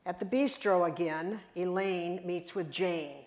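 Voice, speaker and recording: A woman's voice: lowish voice, unhurried, a little mellow. An older woman narrating a play, reading out scene settings and stage directions. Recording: echoey room.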